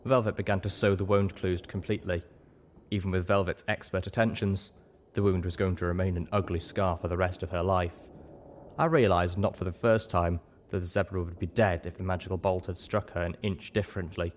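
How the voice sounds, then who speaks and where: Casting: male audiobook narrator, low voice, scripted first-person storytelling, some noise behind. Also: steady tone, fairly quick.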